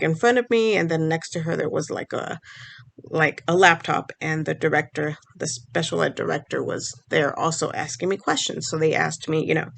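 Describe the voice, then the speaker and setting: A woman's voice: lowish voice, creaky. A woman whose first language is Spanish, talking casually to camera as a vlogger and explaining things in a conversational way. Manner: explanatory, conversational, restarts phrases. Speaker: vlogger and speech pathology grad student.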